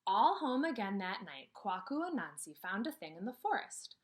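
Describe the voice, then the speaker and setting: A woman leads a read-aloud lesson for small children. Her pitch moves around in a lively way at an ordinary female height. She uses simple, repeated phrases and questions that leave room for answers. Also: normal speed. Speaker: kindergarten teacher.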